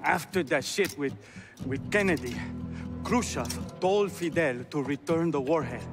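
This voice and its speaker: A man's voice: on the high side, unhurried, over music. A man playing a hesitant operative in a video game cutscene, searching for words as he reports. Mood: halting, strained.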